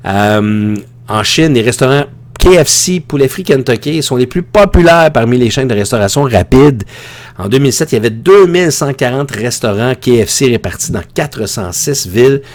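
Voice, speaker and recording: A man's voice: mid-range voice, animated, normal speed. A man with a Quebec French accent teaching an informal online lecture in a conversational, opinionated way that often digresses. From Quebec, Canada. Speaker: high school teacher.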